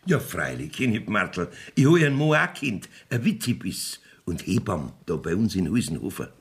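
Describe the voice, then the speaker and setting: An actor playing a blustering, evasive rustic village judge in a radio play, folksy and bossy, with a Bavarian accent. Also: male voice, sits low, sing-song, normal speed. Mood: grumbling. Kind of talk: 1970s dialect radio play acting.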